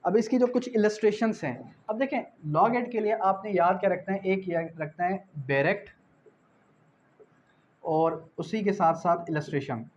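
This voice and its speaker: A man's voice: sits high, moderate pace, a little mellow, muffled recording, slight background noise. A man with a Pakistani accent teaching like an exam-prep law tutor, didactic, repeating key phrases and posing rhetorical questions, switching into English terms. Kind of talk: exam-prep lecture mixing Urdu with English legal terms.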